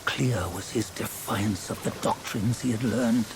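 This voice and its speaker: An actor playing a grave, judgmental guide in a fantasy game, speaking in solemn, archaic phrases with a cryptic, mocking edge. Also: male voice, on the low side, steady tone, measured pace, noisy background. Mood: scornful. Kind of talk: fantasy game cutscene acting.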